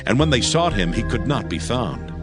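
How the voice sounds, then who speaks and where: A man narrating dramatized scripture as a solemn, measured storyteller. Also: lowish voice, very animated, over music.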